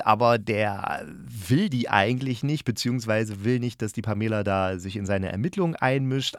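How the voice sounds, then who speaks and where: A man's voice: fairly low pitch, no echo, studio quality. A man hosting a casual spoken review, chatting informally and thinking aloud as he goes. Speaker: podcast host reviewing audio dramas.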